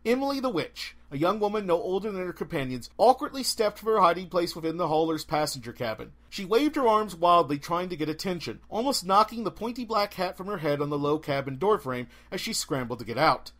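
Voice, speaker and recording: A man reading a story aloud as an expressive audiobook narrator. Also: high-pitched, fairly quick, few pauses.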